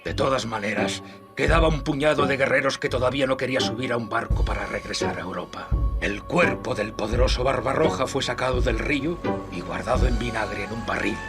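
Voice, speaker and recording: An actor playing an old exiled nobleman who recounts history in solemn, measured Castilian Spanish, as a dramatic game narration. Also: mid-range voice, over loud music, close to an everyday voice.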